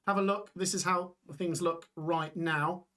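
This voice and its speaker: A young man hosting a live football watch-along stream, reading out scores and chatting with viewers while his voice gets hoarse. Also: high voice, steady tone, a little slow, pushed and bright. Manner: chatty, reads scores aloud, reacts to chat. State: voice going hoarse.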